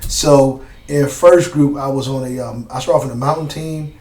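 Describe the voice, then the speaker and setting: A man telling stories in a casual interview, relaxed and slangy, with plenty of fillers and asides. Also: medium pitch, moderate pace, recorded from a distance. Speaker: former Green Beret guest. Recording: roomy sound from off mic.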